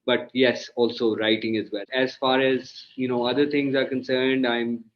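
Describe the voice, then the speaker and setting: A man's voice: mid-range voice, level tone, normal speed, muffled recording. A man from Pakistan, a lawyer and author, answering interview questions at length in an articulate, explaining way.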